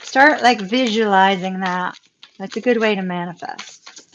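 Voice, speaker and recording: A woman, giving a live tarot reading in a chatty way, with a voice going hoarse, laughing often and repeating words for emphasis. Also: medium pitch, unhurried, lo-fi sound, slight background noise. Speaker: tarot reader.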